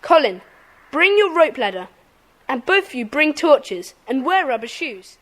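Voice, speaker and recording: A woman playing a decisive schoolboy who leads a children's club in an audio drama, brisk and commanding. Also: sits high, sing-song, a bit bright, exaggerated voice.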